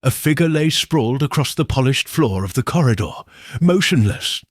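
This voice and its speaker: A man narrating a detective story in the first person with slow, expressive delivery. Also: medium pitch, no room sound, studio quality. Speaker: audiobook narrator.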